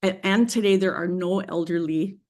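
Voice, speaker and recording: A woman in her fifties, a native English speaker, giving a measured classroom presentation as a guest speaker, with frequent pauses and restarts. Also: on the low side, darkish tone. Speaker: guest speaker, Hawaiian language specialist.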